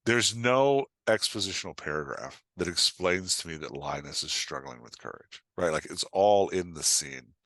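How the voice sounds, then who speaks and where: A man's voice: low-pitched, lively intonation, moderate pace, hardly any pauses, fairly bright tone, no room sound. A man giving a casual interview as a guest writing coach, explaining craft emphatically and checking in with the listener as he goes.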